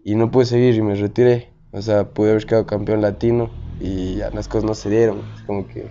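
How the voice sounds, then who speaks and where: A young man, telling racing stories slowly and thoughtfully in a casual chat. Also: fairly low pitch, level tone, dark tone, lo-fi sound. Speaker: guest rider.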